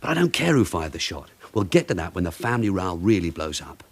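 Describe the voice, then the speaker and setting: An actor playing a blunt, shrewd British police detective sergeant in a radio drama, brisk, commanding and sardonic. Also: male voice, low-pitched, sing-song, heavily acted. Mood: brisk, decisive.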